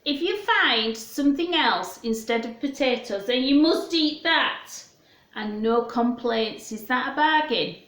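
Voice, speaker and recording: A woman's voice: sits high, animated, measured pace, a bit bright, echoey room. Woman reading as a kindly, firm, emphatic grandfather.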